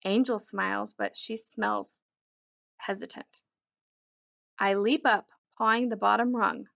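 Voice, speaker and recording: A woman reading a children's book aloud as an expressive narrator. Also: medium pitch, slow pace, gravelly. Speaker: storybook reader.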